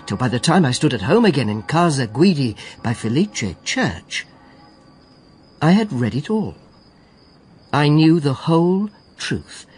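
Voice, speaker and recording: An actor playing a reflective poet narrating an old murder case in measured, literary verse for a radio drama. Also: male voice, mid-range voice, slightly rough.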